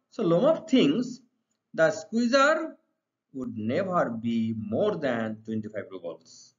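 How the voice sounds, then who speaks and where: A middle-aged man with an Indian accent teaching an exam-preparation lesson, reading out questions and explaining the answers didactically, often repeating his phrases. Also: fairly high pitch. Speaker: English literature teacher. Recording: dull, muffled lo-fi sound.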